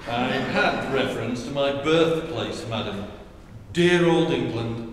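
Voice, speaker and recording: An actor playing a formal, pompous butler in a staged 1940s radio comedy. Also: male voice, mid-range voice, slow, lightly acted. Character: pompous English butler, wordy. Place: theatre stage. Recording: echoey theatre, far from mic, faint hiss.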